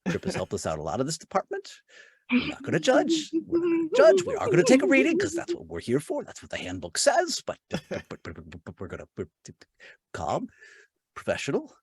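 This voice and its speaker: A man's voice: extremely high pitch, huge pitch swings, brisk, husky, no room sound, clean studio sound, voice pushed up, exaggerated voice. Man playing a stammering, flustered field agent. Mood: panicked self-reassurance. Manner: stammering, anxious, by-the-book. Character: flustered, dutiful male field agent fighting nausea and panic.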